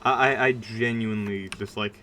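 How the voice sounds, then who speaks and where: A streamer with a bright, forward voice and middling pitch talks off the cuff on a livestream. The delivery is informal and rambling, with emphatic stresses. Faint music plays behind the voice. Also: steady tone, moderate pace. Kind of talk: casual livestream chat while gaming.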